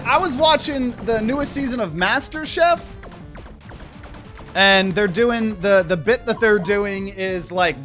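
Excitable high-voiced young man gaming. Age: 20s. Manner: excitable, swears freely, chats with viewers. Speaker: streamer. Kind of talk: live gameplay commentary.

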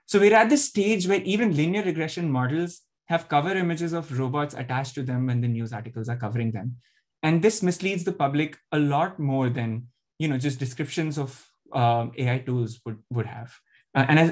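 A man's voice: on the high side, a little mellow. A man giving an academic talk over a video call, explaining with frequent fillers and restarts. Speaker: panel presenter. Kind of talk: academic presentation.

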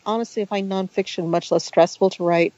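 A middle-aged woman, a native Hungarian speaker raised in the United States, talking about her writing in a relaxed, chatty interview with many restarts and asides. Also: medium pitch, brisk, dry recording, dull audio. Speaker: author and guest.

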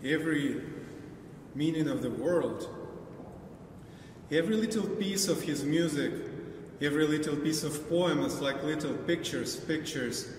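A middle-aged man with a Ukrainian accent speaks slowly, pausing as he searches for words. His voice sits a bit high for a man. It carries a long church reverb and is picked up from far off, with faint rumble behind it. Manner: emotional, halting, searching for words. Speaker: choir singer. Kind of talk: heartfelt personal testimony. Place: large church interior. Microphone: far camera mic.

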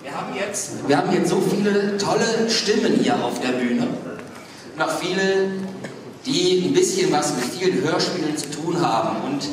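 A man's voice: fairly high pitch, expressive, normal speed. A man casually moderating a panel on stage at a fan convention. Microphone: handheld mic, heard from far camera. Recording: echoey hall from far off, audience noise.

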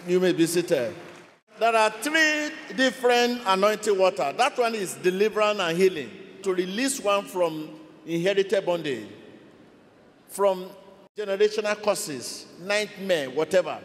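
A male preacher with a high voice speaks in a charismatic style. He is on a handheld mic in a large church hall, with long reverb and clear background noise. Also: lively intonation, a little slow. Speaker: preacher leading a deliverance service. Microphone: handheld microphone. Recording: echoey hall, noisy congregation, dated broadcast.

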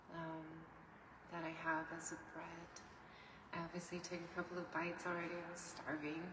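Soft-spoken young woman, slow and flat. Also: fairly low pitch. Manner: gentle, rambling, with drifts into soft whispers. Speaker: ASMR creator. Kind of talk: casual ASMR eating video. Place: bedroom, sitting on a bed. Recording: echoey room from far off, noisy.

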